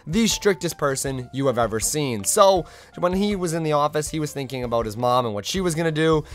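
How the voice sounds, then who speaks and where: Young male vlogger, hoarse and a bit raspy, slightly high voice, casual storytime delivery, faint music underneath. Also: animated, a little fast. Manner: chatty, self-deprecating storyteller. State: hoarse, recovering lost voice.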